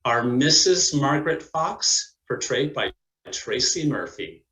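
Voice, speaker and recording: A man narrating and announcing in a formal, measured, scripted way as a presenter. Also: medium pitch, sing-song, pushed and bright, reverberant, poor audio. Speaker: narrator and presenter of a community play. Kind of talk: scripted narration and cast introductions.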